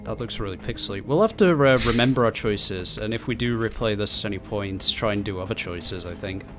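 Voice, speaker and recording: A man's voice: on the low side, fairly quick. A man playing a co-op video game, chatting casually and jokingly with friends. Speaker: gamer in a co-op playthrough. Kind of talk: casual chat while gaming. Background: game music.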